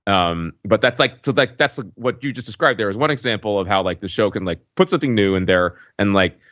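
A man with a low voice talks as a podcast co-host, picking through his thoughts with plenty of filler words. The sound is dry and slightly dull, and his style is casual and analytical. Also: lively intonation, fast talker, a bit bright, dry recording. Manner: hedging, filler-heavy phrasing with frequent "like" and "right". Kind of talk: casual analytical pop culture discussion.

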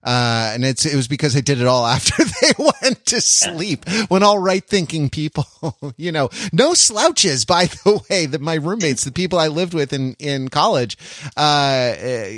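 A man's voice: fairly high pitch, sing-song, fairly bright tone, no room sound. Man in dry, close-sounding podcast chat. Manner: halting, self-interrupting, wryly humorous. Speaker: podcast co-host. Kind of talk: casual conversational podcast chat.

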